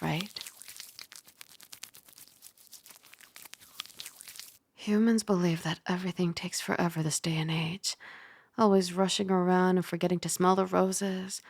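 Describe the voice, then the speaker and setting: A woman voicing a caring young dragon roommate in a soft ASMR roleplay, gently bossy and teasing as she gives close-mic instructions. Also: fairly low pitch, gravelly. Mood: gently scolding. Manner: gently bossy, teasing, puzzled by human ways. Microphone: close ASMR microphone.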